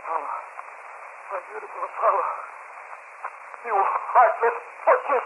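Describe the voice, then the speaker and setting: A man's voice: high voice, huge pitch swings, slow, gravelly, heavily acted. An actor playing a volatile, accusing, cat-loving man in an old-time radio drama. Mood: anguished, accusing. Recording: noisy, tinny old radio recording.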